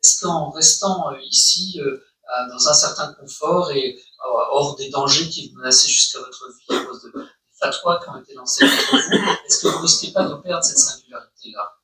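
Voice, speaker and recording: Male moderator, formal questions with some hesitation; sharp, bright voice, mic at a distance. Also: a little high, moderate pace, reverberant. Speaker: interviewer. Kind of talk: moderated public conversation. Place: university lecture hall.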